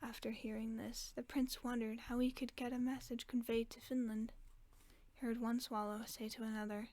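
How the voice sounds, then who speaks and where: A woman whispers a bedtime fairy tale slowly and softly, keeping her voice hushed and level throughout. Her tone sits a little high but barely rises or falls, steady and soothing in its sameness. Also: dry recording. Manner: slow, hushed, even whisper. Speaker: narrator of a sleep-aid story. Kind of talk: soft whispered bedtime story reading.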